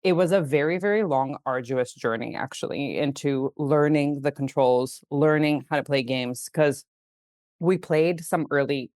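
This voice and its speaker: A woman's voice: rumbling low, measured pace, darkish tone, no room sound. Chatty woman from Greece, dry close mic. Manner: chatty, laughs easily, many "like"s and "you know"s. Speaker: game studio CEO, guest. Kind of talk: casual podcast interview.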